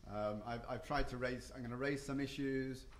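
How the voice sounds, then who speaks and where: A man's voice: medium pitch, fairly even intonation, normal speed, roomy sound, slight background noise. A man giving a conference panel talk, hesitant, with frequent ums and restarts. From not Japan or the US. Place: hotel conference room.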